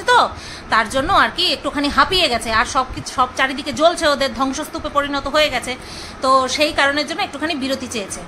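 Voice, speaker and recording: A woman's voice: sits high, pushed and bright, slight background noise. A woman doing casual gossip commentary as a video blogger, scolding and mocking, hammering points home by repeating phrases. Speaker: video blogger commenting on other online personalities.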